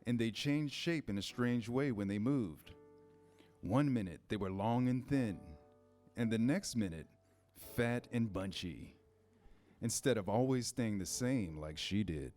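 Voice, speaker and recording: A man reading scripted storybook narration in a measured, storytelling manner. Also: medium pitch, a little mellow, faint music behind.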